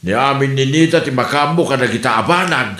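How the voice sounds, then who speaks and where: A man's voice: medium pitch, lively intonation, brisk, sharp tone. Man acting worried parent in echoey room. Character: worried, caring parent of a grown child. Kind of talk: Ilocano comedy audio drama acting. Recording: roomy, music underneath.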